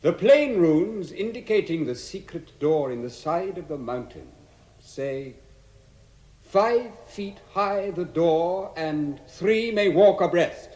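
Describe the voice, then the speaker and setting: An actor playing a noble, wise elf lord with a measured, solemn manner in a 1960s radio drama. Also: male voice, on the high side, lively intonation, darkish tone, slight background noise. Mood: studious. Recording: echoey vintage radio drama, music behind.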